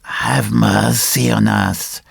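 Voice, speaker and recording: A woman voicing a sly, miserable old male fox who begs in a tearful, drawn-out, pleading way. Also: extremely low pitch, steady tone, creaky, breathy edge, no echo, exaggerated voice. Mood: tearful begging.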